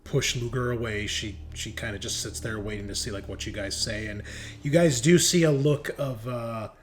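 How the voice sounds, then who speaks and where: A man's voice: mid-range voice, normal speed, a little hiss or hum. A man improvising narration as a game master, hesitant and searching for his words.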